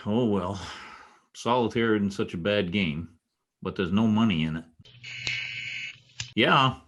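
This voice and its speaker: A man playing a vain newspaper columnist in an old-time radio comedy, blustering and easily flustered by flattery. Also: lowish voice, slow pace, muffled recording, background noise. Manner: animated, blustering, hesitant when flattered. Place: home, online video-call production.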